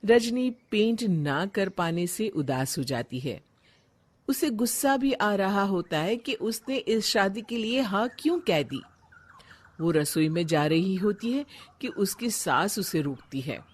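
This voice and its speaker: Female narrator for scripted stories: unhurried pace, lively intonation. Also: mid-range voice, a little hiss or hum.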